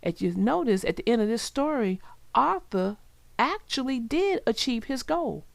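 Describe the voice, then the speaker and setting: A woman's voice: fairly high pitch, very animated, normal speed, gravelly, no room sound. A woman reading aloud for children as a storyteller, chatting directly to her young listeners in a conversational way.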